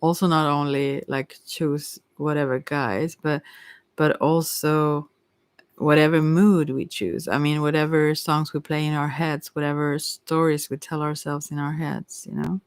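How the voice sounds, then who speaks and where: A person giving a casual ASMR tarot reading, rambling and musing in a gentle, reassuring and hesitant way. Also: mid-range voice, level tone, unhurried, clear, resonant tone, no echo. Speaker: tarot card reader.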